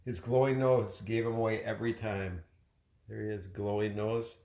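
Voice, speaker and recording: Dad reading a storybook in an echoey room. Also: male voice, fairly low pitch. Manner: expressive, pausing to chat with the child. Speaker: father reading a bedtime-style story to a child. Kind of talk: children's storybook read aloud. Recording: roomy, echoey home recording.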